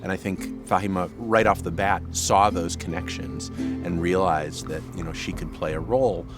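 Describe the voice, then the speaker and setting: A man's voice: deep voice, fairly even intonation, normal speed. A man with an American accent, a professor speaking off the cuff in an interview. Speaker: history professor. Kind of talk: spontaneous interview talk. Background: guitar music.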